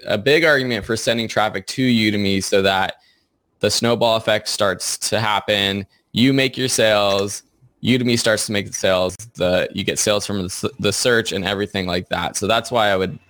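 A man's voice: lowish voice, normal speed, fairly bright tone, no room sound. A man who co-hosts a podcast and teaches online courses, explaining informally in a casual panel discussion.

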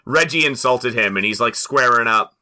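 A man's voice: mid-range voice, normal speed, pushed and bright. A man co-hosting a comedy podcast, giving wry, casual running commentary full of offhand remarks. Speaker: podcast co-host.

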